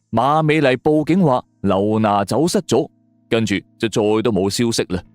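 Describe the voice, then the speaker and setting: A man's voice: on the low side, no echo. A man narrating a suspense story in a colloquial, dramatic storyteller style. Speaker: audiobook narrator.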